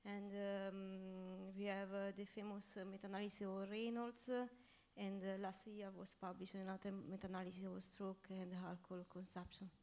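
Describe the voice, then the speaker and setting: A woman on a conference panel answering questions, speaking haltingly with many fillers and non-native phrasing, on a handheld mic in a large hall. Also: medium pitch, little pitch movement, darkish tone. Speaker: panelist researcher. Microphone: handheld microphone.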